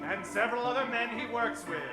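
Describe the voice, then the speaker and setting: A man's voice: extremely high pitch, fast talker, over loud music. A man narrating an old-time radio show in a theatrical, mock-dramatic announcer style.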